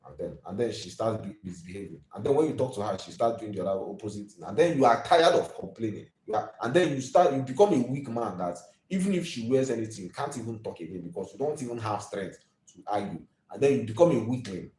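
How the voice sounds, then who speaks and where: A man's voice: lowish voice, animated, fast talker, darkish tone, reverberant, low-quality recording. A Nigerian man in his twenties hosting a live video, ranting emphatically and preachily and repeating his points. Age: 20s.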